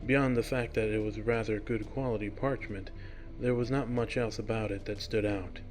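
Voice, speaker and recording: A man's voice: fairly low pitch, fairly even intonation, moderate pace, poor audio. A man narrating a scripted fiction story as its reader. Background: music.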